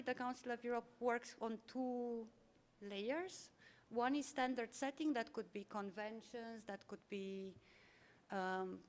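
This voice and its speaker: A woman moderating a workshop at a conference, explaining an organization's work in a fluent way with frequent fillers. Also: fairly high pitch. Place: conference room.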